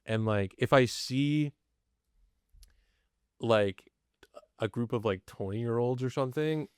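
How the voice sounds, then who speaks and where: A man's voice: mid-range voice, slow pace, clean tone, no echo, studio quality. A man in his late twenties co-hosting a podcast, chatting casually and hesitantly searching for his words. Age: 20s. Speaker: podcast co-host. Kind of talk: casual chat.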